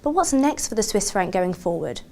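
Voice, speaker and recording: A woman's voice: medium pitch, big pitch swings, moderate pace, mellow. A woman journalist putting prepared financial questions in a formal, articulate broadcast style. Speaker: TV journalist, interviewer.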